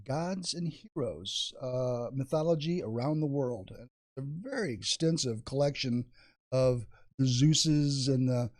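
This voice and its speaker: A man's voice: medium pitch, measured pace, darkish tone, no room sound, clean studio sound. A man co-presenting products without a script, hesitant and repeating words as he goes. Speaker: co-presenter of library program kits.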